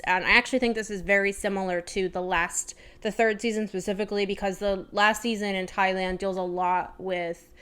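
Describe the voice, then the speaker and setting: A woman with a smooth, crisp voice at an average pitch presents book recommendations. She speaks in an informal, chatty vlogger style, thinking aloud in a slightly tentative way as she goes.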